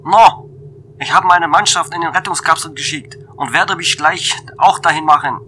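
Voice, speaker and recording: A man's voice: on the high side, normal speed, bright, forceful tone, slight background noise. A man playing an alarmed French station crew leader in an audio drama, agitated and breaking off his phrases. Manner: agitated, breaking off mid-thought.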